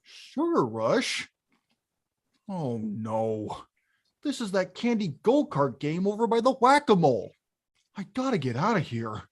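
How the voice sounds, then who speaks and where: A woman acts out a big, clumsy cartoon game villain, a blustering guy who is more hapless than scary. The pitch swings widely and the delivery is slow, with halting bursts of exclamation. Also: wildly swooping pitch, husky, exaggerated voice. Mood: gloating, uneasy. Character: big clumsy male video-game bad guy, gruff but hapless. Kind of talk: animated film script table read.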